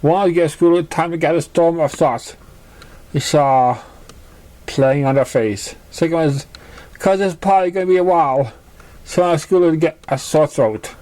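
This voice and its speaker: A man narrating fan fiction as an amateur reader, in a halting, stumbling way. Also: fairly high pitch, darkish tone.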